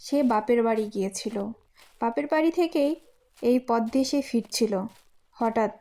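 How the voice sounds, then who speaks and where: High-voiced woman narrating a story. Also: moderate pace, warm tone. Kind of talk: scripted cartoon storytelling narration.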